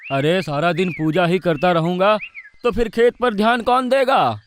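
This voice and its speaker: Village farmer husband for horror cartoon, male actor: high-pitched, worried but argumentative, light background noise. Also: moderate pace, natural voice. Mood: stubborn, irritated. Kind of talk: dramatised Hindi horror cartoon story.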